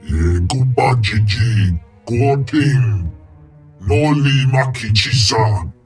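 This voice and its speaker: A man voicing a gruff, crude alien in a video game, with a raspy growl, mostly in an invented tongue. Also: mid-range voice, lively intonation, drawn-out words, a little mellow, soft background music, heavily acted. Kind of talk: video game creature acting.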